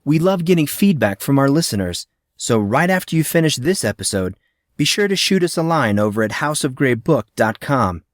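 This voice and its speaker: A man's voice: medium pitch, big pitch swings, moderate pace, no echo, studio quality. A man reading a fantasy novel aloud as narrator, in a friendly storytelling manner. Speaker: audiobook narrator.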